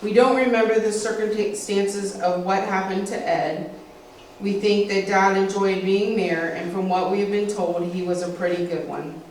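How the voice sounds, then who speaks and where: A woman's voice: medium pitch, steady tone, measured pace, darkish tone. American woman reading aloud in an echoey room. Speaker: speaker at a local historical society event. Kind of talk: reading a prepared family history script aloud with occasional asides. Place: indoor meeting room with a small audience. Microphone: distant camera, speaker at a lectern. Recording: echoey room, distant mic, faint background noise.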